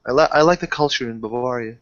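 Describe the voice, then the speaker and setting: A man playing a roleplaying game in improvised conversation, halting and often repeating his phrases. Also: mid-range voice, dry recording. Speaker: player in an online roleplaying game. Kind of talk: in-character improvised roleplay chat. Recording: dry, dull lo-fi voice chat.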